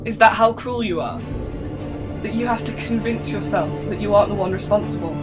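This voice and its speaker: A woman's voice: mid-range voice, fairly quick, music in the background, close to an everyday voice. A woman playing a young female companion who speaks emotionally and reproachfully in an amateur audio drama. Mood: appalled.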